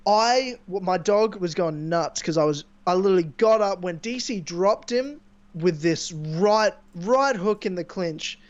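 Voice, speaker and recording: A young man hosting a casual podcast, chatting and rambling excitedly with a sore throat from screaming. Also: sits high, measured pace, no echo. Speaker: podcast host. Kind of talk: casual chat. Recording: dull lo-fi mic.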